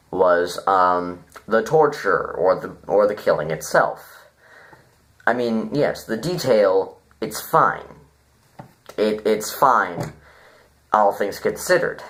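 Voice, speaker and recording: Slow-talking, rambling man with lively pitch swings. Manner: halting, rambling, thinking aloud. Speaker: online story reviewer. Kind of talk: casual unscripted review commentary.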